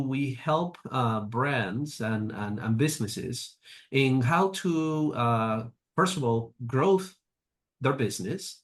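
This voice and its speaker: Man, halting interview answers. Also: mid-range voice. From Argentina. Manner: halting, with frequent fillers and restarts. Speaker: guest, agency president.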